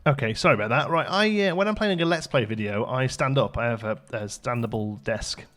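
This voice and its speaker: A man's voice: medium pitch, brisk, a bit bright. Chatty man doing gaming commentary. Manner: chatty, thinks aloud. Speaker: gaming video host. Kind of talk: casual let's play commentary.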